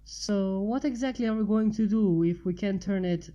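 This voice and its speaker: A woman's voice: mid-range voice, normal speed, dark tone, lo-fi sound, natural voice. A woman voicing a blunt, teasing, skeptical female friend in a story reading. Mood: sarcastic. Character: sarcastic, skeptical woman friend, easily alarmed.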